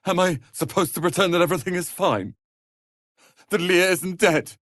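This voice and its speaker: A man's voice: high-pitched, normal speed, a bit bright, dry recording. A proud young wizard's apprentice for a fantasy game. He speaks in a pompous, cutting, theatrical way, with very close, dry studio sound. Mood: anguished anger. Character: haughty, ambitious young male wizard's apprentice, proud and sardonic. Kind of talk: dramatic video game voice acting.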